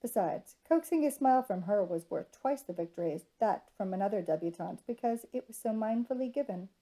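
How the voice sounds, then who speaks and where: A woman's voice: medium pitch, animated, a little fast, mellow, rough recording. A woman reading scripted fiction narration from a storybook.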